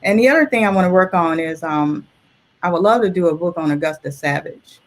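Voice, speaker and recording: A woman's voice: fairly low pitch, fairly quick. Woman illustrator in casual interview. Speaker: illustrator and artist, guest.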